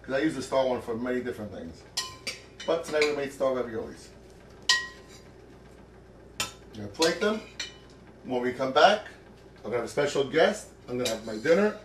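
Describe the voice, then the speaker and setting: A man's voice: mid-range voice, sing-song, normal speed, pauses often, noisy background. A middle-aged man hosting a cooking show in a home kitchen, chatty and joking as he demonstrates a recipe.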